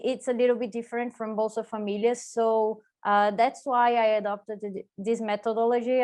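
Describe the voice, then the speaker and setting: A woman's voice: medium pitch, a little mellow. Brazilian woman presenting research, hesitant. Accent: Brazilian Portuguese. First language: Portuguese. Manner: hesitant, many filler "uh"s and restarts. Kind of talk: academic conference presentation. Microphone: video call.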